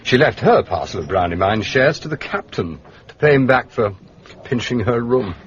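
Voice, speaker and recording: An actor playing an urbane, shrewd gentleman detective in a British radio drama, with an upper-class English accent and polished, drily understated manner. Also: mid-range voice, lively intonation, moderate pace, slight background noise, lightly acted. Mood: dryly curious. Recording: muffled, dull radio drama recording.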